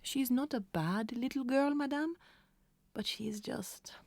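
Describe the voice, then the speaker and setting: A woman's voice: sits high, measured pace, darkish tone, dry recording. A woman acting a kindly but firm French nanny in a children's audio drama, with a French accent. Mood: gentle, diplomatic.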